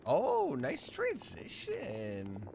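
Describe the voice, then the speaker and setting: A man's voice: on the high side, huge pitch swings, extremely slow, creaky, noisy background. A man streaming a video game, giving casual reaction commentary.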